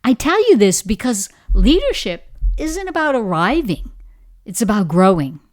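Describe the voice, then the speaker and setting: Woman, Bahamian accent, middle-aged, encouraging leadership coach, very animated pitch, medium-pitched voice. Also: normal speed. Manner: warm, encouraging, emphatic storytelling. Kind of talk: motivational talk to camera.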